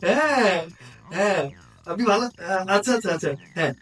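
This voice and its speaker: An overfamiliar village visitor in a film drama, with a high, very animated voice and a Bangladeshi accent. He is insistent and colloquial, heard at some distance from the mic over music. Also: a little fast. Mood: chummy. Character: pushy, overfamiliar male village visitor posing as old friend. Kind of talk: naturalistic short-film drama acting.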